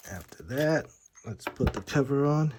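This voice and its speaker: A man's voice: medium pitch, animated, a little slow, darkish tone, a little hiss or hum. A man giving a casual, hands-on gear demonstration, informal and a little hesitant as he thinks aloud. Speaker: gear reviewer. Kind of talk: casual unboxing and setup demonstration.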